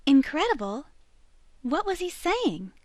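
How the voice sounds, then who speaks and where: A woman's voice: sits high, very animated, a little slow, a little mellow, no echo. A woman playing a perky, eager teenage girl in a video game fandub. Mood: incredulous.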